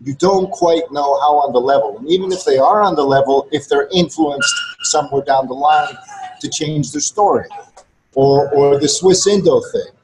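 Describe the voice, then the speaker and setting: A man's voice: on the high side, expressive, moderate pace, darkish tone, slight background noise, rough recording. Blunt, earnest Greek-native man lecturing. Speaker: regular co-host. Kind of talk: casual conversation to listeners.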